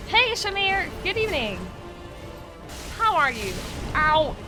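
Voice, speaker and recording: A woman's voice: extremely high pitch, sing-song, slow pace, over music. A young woman from Norway chatting casually with her chat while streaming a game, answering questions with frequent fillers and small laughs.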